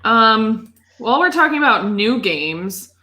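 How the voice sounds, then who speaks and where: A woman's voice: fairly high pitch, sing-song, a little slow, sharp tone. A woman talking casually about video games on a podcast, with informal, loose phrasing. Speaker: podcast panelist.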